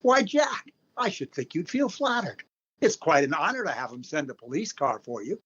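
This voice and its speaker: An actor playing a polite, upbeat master of ceremonies in 1930s radio show style, on a slightly dull recording. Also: male voice, fairly high pitch, big pitch swings, moderate pace, fairly bright tone, slightly rough, full character voice. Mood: upbeat, presenting. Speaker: show host.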